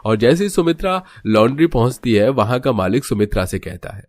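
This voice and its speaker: A man's voice: medium pitch, very animated, a little fast, a little mellow, a little hiss or hum. A man narrating a scripted cartoon story as its storyteller.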